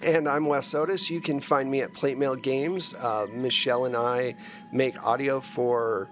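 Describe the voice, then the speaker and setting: A man's voice: medium pitch, steady tone, measured pace, a bit bright. A man hosting and narrating a tabletop role-playing game in casual, improvised talk. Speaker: game master and host. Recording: clear background noise.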